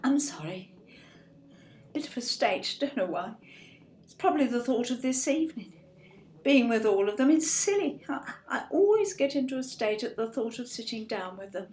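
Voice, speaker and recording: An actress playing an anxious, fretful English mother in an audio drama, flustered and quick to apologise. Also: on the high side, sing-song, darkish tone. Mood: nervous, apologetic. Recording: roomy echo, faint background noise.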